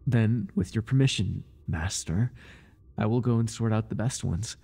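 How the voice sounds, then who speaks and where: A low, gravelly male high priest, acted out by a man in an intimate ASMR roleplay. He is servile and obsessive, pleading with his dark master in a mellow, husky voice that hangs on every word of devotion. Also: a little slow, heavily acted. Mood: smug, fawning devotion.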